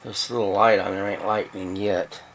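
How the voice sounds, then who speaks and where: A middle-aged man giving a casual, halting, folksy hands-on demonstration, thinking aloud at a workbench. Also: sits low, little pitch movement, poor audio. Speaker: radio restorer demonstrating a repair. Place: cluttered indoor electronics workbench. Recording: loud background noise and radio hum.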